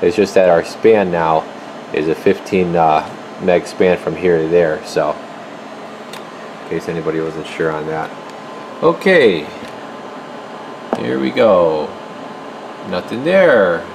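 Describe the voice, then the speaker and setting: A man's voice: deep voice, slow, gravelly, pauses often. A man giving a casual hands-on demonstration at a workbench, informally muttering to himself as he works. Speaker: radio repair technician, video host. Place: indoor radio workshop at an electronics bench. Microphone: handheld camera, behind the lens. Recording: noisy room with steady equipment hum.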